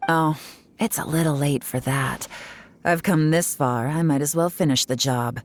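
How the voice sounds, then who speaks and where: A woman's voice: low-pitched, a little slow, soft background music. A woman voicing a stern, self-assured female investigator in a video game, commanding, composed and wry. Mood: menacing, smug. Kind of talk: dramatic video game voice acting.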